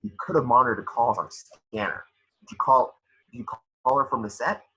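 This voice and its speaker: A man's voice: medium pitch, brisk, bright, forceful tone, natural voice, rough recording. A man playing an assertive, businesslike police detective with clipped questions, in an amateur table read.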